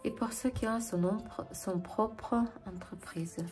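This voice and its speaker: A woman with a Ukrainian accent giving a tarot reading to viewers as host, in deliberate, halting phrases. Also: medium pitch, fairly even intonation, a little mellow, soft background music. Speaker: tarot reader and channel host.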